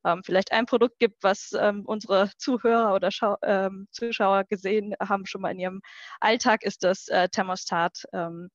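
A woman giving explanatory answers as an interview guest, with a mid-pitched voice. She talks as a recruitment manager, and the sound is dry and somewhat muffled. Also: fairly even intonation, normal speed, no room sound.

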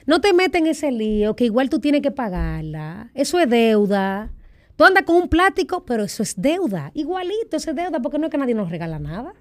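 A middle-aged woman with a Dominican accent, telling her own story in a candid interview, lively and full of quoted voices. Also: high-pitched, fairly quick. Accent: Dominican Spanish. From Dominican Republic. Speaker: guest.

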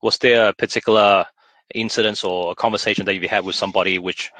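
Low-voiced interview host from Hong Kong. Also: male voice, steady tone, fast, pushed and bright, dry recording. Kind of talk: conversational interview.

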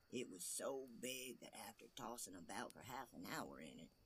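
A man's voice: fairly low pitch, slight background noise. A man narrating a scripted fairy tale as the storyteller. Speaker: storyteller host.

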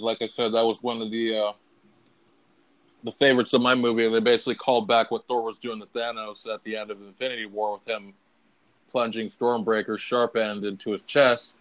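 Male podcast host, mid-pitched voice, dry sound, informal and sweary movie-chat delivery. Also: fairly bright tone, no room sound, poor audio. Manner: informal, profane. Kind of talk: casual movie review chat.

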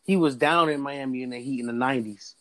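A man's voice: mid-range voice, fast talker, slight background noise. Laughing, profane young man from Florida. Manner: profane, laughing, animated storytelling. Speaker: podcast co-host. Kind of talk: casual podcast banter.

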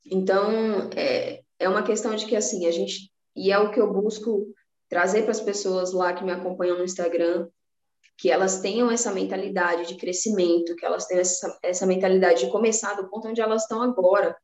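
A woman's voice: mid-range voice, fairly even intonation, fairly quick, a little mellow, echoey room. A woman from Salvador, Bahia, with a Bahian accent, a podcast guest talking about personal finance in an earnest, motivating way, with many restarts. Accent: Bahian Brazilian Portuguese. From Salvador, Bahia, Brazil. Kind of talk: casual interview chat.

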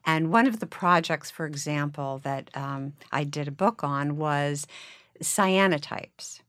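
A middle-aged woman, a photographer and filmmaker, answering interview questions in a steady, measured way. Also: low-pitched, no room sound, studio quality.

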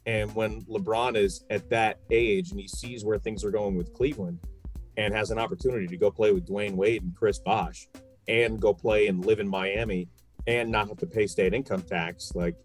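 Emphatic lower-voiced man talking sports. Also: steady tone, normal speed, faint music behind. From New York. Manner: emphatic, asks rhetorical questions. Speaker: sports podcast guest and radio play-by-play broadcaster. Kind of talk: casual sports talk, opinionated.

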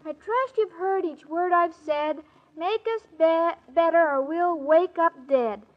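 Sweet, dopey young female, 1940s radio sitcom. Childlike, slow delivery, extremely high voice, muffled noisy recording. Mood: childlike, dreamy. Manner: childlike, earnest, deadpan innocence. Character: dim-witted, sweet, naive young woman, childlike and earnest. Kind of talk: 1940s radio comedy acting. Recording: muffled old radio recording, clear hiss.

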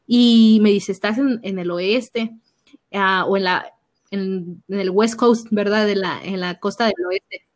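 A young woman with a Mexican accent, a youth minister sharing her faith story in an animated conversational way. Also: medium pitch, slow pace. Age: young adult. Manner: animated storytelling, acts out her own past reactions. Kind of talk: personal testimony in conversation.

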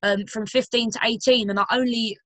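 A woman's voice: on the high side, fairly even intonation, a little fast, a bit bright, dry recording. A young woman in her twenties with a London accent, chatting as a guest in a casual podcast interview, full of fillers and restarts. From Romford, London. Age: 20s. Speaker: guest footballer and personal trainer.

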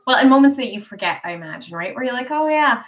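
A woman's voice: a little high, expressive, fast, dull audio. A chatty woman with a young-sounding voice, a podcast guest in casual conversation over a remote call. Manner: chatty, laughs easily. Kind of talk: casual chat.

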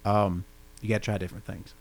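A man's voice: lowish voice, flat delivery, fast talker, creaky, rough recording. A man with a growly voice, hosting a casual interview and chatting in a rambling way. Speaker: podcast host.

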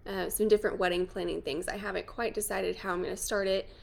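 A woman's voice: mid-range voice, steady tone, quick pace, gravelly. A woman, chatting casually and playfully as a podcast host. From New Mexico. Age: 20s. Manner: chatty, self-deprecating, playful asides. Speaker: podcast host, wedding photographer. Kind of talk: casual chat.

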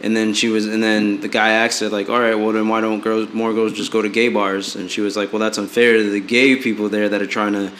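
A man in his late twenties with a low voice talks through relationship questions bluntly, rambling and restarting as he goes. His voice rings in an echoey room with a faint hiss behind it. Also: flat delivery, fairly quick, few pauses, a bit bright. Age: 20s. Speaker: podcast co-host. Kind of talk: casual advice chat.

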